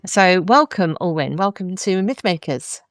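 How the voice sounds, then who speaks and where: A woman hosting a podcast interview, asking measured, thoughtful questions. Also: on the low side, expressive, no echo, clean studio sound. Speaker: podcast host.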